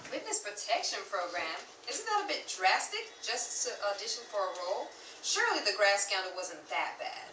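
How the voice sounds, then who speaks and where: A woman's voice: mid-range voice, sing-song, normal speed, some chatter behind, slight background noise. A woman playing a dry-witted, teasing friend. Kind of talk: old-time radio comedy acting. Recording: echoey live room, distant mic, loud audience.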